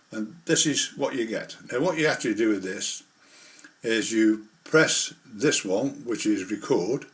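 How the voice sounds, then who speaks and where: A man presenting an informal hobby tutorial, explaining things step by step in a rambling, hands-on way. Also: lowish voice. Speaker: model railway hobbyist presenter.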